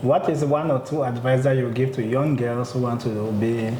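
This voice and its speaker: A man's voice: mid-range voice, fairly even intonation, moderate pace. A man hosting a talk show, asking questions and giving short prompts. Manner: brief prompting replies. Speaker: show host. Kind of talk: interview questions. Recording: echoey room with crowd noise.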